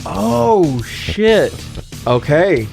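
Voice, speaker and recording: A man's voice: sits high, very animated, very slow, vocal fry, darkish tone, soft background music. A man co-hosting a podcast, casually recapping and riffing with incredulous, sweary humor. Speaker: podcast co-host. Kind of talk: casual comedic film recap and chat.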